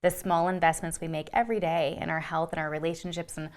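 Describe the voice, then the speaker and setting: A woman's voice: sits low, level tone, quick pace. A woman explaining wellness ideas earnestly in a casual podcast chat. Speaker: wellness guest. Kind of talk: casual conversation.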